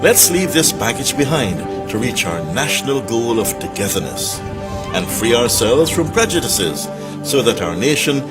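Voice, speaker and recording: Male announcer over loud music. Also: medium pitch. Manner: measured, lively announcer delivery. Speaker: public service announcer. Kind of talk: scripted voice-over.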